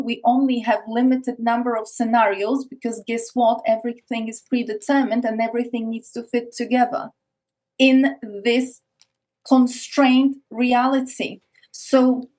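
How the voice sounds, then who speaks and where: A woman's voice: a little high, darkish tone, low-quality recording. A woman from Eastern Europe with an Eastern European accent, speaking as a guest on a podcast in an earnest way, often restarting and repeating words. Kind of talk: casual interview talk. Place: Arctic north of Norway.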